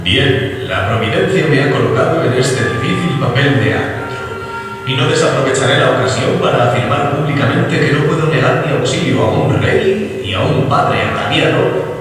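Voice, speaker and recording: A man's voice: medium pitch, animated, normal speed, close to an everyday voice. A man playing a pompous, formal emperor in a dramatized radio-theatre reading. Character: self-important emperor acting as royal arbiter. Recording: very echoey hall, distant, music behind.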